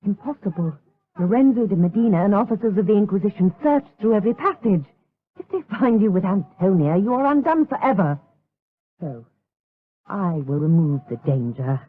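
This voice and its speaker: A woman's voice: mid-range voice, sing-song, moderate pace, husky. A woman playing a cold, scheming villainess in a gothic audio drama, imperious and scornful. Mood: cold, urgent. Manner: imperious, melodramatic. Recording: tinny noisy old radio drama.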